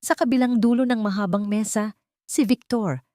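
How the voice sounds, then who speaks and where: A woman's voice: a little high, normal speed, mellow, dry recording, clean studio sound. A woman with a Filipino accent reading scripted romantic drama narration in a dramatic storytelling style.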